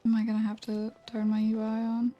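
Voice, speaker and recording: A woman giving cozy, quiet gameplay commentary, soft-spoken with delighted reactions. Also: on the high side, completely flat delivery, a little fast, lo-fi sound, faint music behind. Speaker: gaming video commentator.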